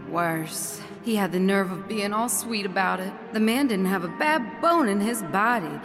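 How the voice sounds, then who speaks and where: An actress playing a brazen, hot-tempered country wife in an audio drama, blunt, folksy and theatrical. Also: female voice, medium pitch, expressive, normal speed, natural voice. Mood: exasperated. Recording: long hall reverb, music behind.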